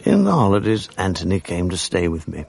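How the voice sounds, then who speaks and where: An older man narrating his memories in a wistful, measured way. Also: low-pitched, huge pitch swings, vocal fry, darkish tone, husky, dry recording. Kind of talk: reflective radio drama narration.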